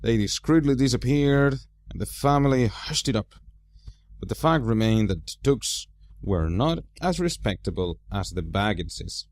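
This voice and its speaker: A man narrating a storybook aloud as an amateur reader, with expressive, deliberate phrasing. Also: mid-range voice, dry recording.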